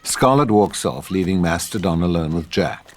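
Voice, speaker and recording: A man's voice: low-pitched, animated, normal speed. A man reading scripted stage directions as the narrator of a table read.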